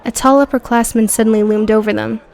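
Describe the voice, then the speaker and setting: A woman's voice: a little high, fairly even intonation, fast, gravelly, darkish tone, a faint crowd. A woman reading scripted audio book narration as the narrator.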